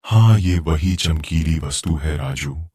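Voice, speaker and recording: A man voicing a cursed king turned into a talking tiger in a cartoon story, speaking in a calm, solemn way as he explains things. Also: a bass voice, slow pace, breathy edge, voice pushed down, heavily acted.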